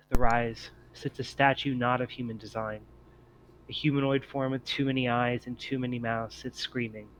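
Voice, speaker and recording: A man reading scripted, descriptive scene-setting narration as game master of a tabletop game, in a measured storytelling way. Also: mid-range voice, a bit bright, low-quality recording. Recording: noticeable background noise.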